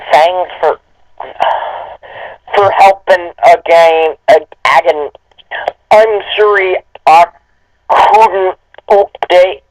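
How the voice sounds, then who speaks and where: Dude with a pretty high voice, joking around, reads out loud kinda haltingly. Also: male voice, rough recording. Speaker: co-host of a comedic dramatic reading. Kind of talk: casual joking banter and mock reading.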